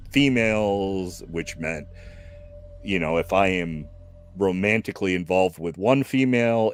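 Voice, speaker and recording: A man in his fifties hosting a casual stream chat, slow and reflective, full of fillers and restarts. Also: low voice. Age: 50s. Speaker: stream host.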